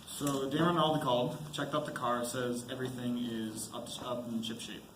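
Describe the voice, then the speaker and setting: A man's voice: mid-range voice, level tone, normal speed, lightly acted. A teenage boy playing a frightened, apologetic young soldier and husband in an amateur student stage play. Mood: awkward, careful. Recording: echoey school stage, clear background noise.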